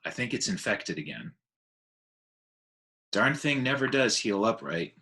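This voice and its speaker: A man plays a kindly male caretaker from a children's storybook. He speaks gently and tentatively, in a slightly low voice. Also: sing-song, unhurried, heavily acted. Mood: gentle. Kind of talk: children's book read aloud.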